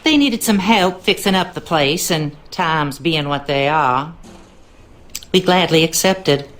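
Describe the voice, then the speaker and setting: Deep-voiced cleaning woman. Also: expressive, slow, fairly bright tone, exaggerated voice, rough recording. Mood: welcoming. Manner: folksy, confiding. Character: folksy, gossipy working-class cleaning woman. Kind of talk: game character monologue.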